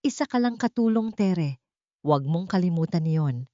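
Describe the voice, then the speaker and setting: A woman's voice: lowish voice, lively intonation, moderate pace, dark tone, lightly acted. A woman voicing a harsh, haughty rich mother and mistress in an audiobook drama. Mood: cold anger. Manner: harsh, contemptuous. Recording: muffled, echoey sound.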